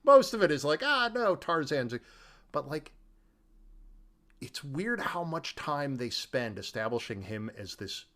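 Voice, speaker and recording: A man's voice: a little high, sing-song, a little slow, a bit bright. A man co-hosting a casual film discussion, talking colloquially with emphatic, incredulous delivery. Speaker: podcast co-host discussing a film. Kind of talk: casual chat.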